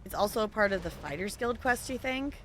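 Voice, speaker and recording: A woman gives casual live game commentary in a bright, highly animated voice. She is chatty and playful, rambling as she goes, with faint sound behind her. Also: a little fast, a little hiss or hum. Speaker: streamer.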